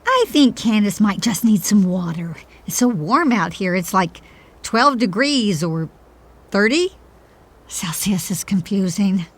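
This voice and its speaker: A woman's voice: medium pitch, lively intonation, a little slow. A woman playing a sympathetic, supportive friend in an audio comedy drama, earnest and consoling. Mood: awkward, covering.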